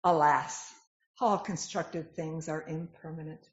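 A woman's voice: low-pitched, fairly even intonation, takes it slowly. A woman narrating a dramatic reading of scripture from a script. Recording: echoey room, dull lo-fi sound.

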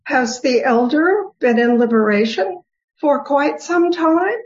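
A woman reading the part of a serene, wise goddess who gently teases, in a formal scripture reading with deliberate delivery. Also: sits high, lively intonation. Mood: gently teasing. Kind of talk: dramatic reading of Buddhist scripture. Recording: dull, lo-fi recording.

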